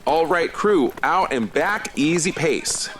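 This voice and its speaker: Man as animated, wry best friend. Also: a little high, slow, background noise. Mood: friendly. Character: Theo, anxious, wry, loyal male cryptid-obsessed friend. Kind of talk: audio drama dialogue.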